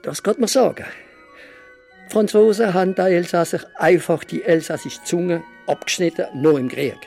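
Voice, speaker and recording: An actor playing a proud, opinionated Alsatian writer in a radio play interview, answering in an assertive, measured way. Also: male voice, high-pitched, vocal fry, darkish tone, faint music behind.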